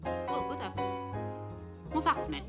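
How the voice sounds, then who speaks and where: A woman's voice: sits high, very animated, moderate pace, over music. A woman playing a fussy, proper, easily flustered cartoon hen with a British accent. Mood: flustered delight. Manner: prim, proper, fluttery.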